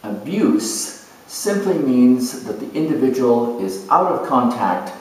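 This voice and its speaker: Slow, theatrical man in an echoey room. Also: medium pitch, sing-song, a little mellow, a little hiss or hum. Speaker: astrologer dramatizing zodiac character types. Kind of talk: theatrical first-person character monologue. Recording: echoey room, distant microphone.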